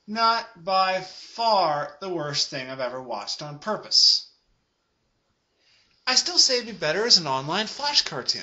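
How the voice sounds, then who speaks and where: Sarcastic guy reviewing stuff, really animated and emphatic, kinda lo-fi audio. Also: high-pitched. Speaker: comic reviewer.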